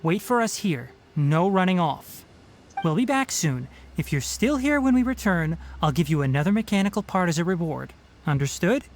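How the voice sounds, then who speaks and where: A man voicing a calm, analytical young forest scholar-ranger in a video game, speaking in a measured, instructive way. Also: high-pitched, slight background noise. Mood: composed.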